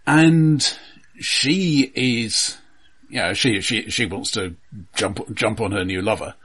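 A man co-hosting a podcast, discussing a film casually, halting and repeating his words. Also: lowish voice, fairly bright tone, husky. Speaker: podcast co-host.

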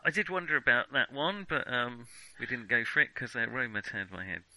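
A man's voice: mid-range voice, fairly quick, bright, forceful tone, no echo, rough recording. A man co-hosting a film podcast, chatting casually with jokey, wry asides. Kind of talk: casual chat.

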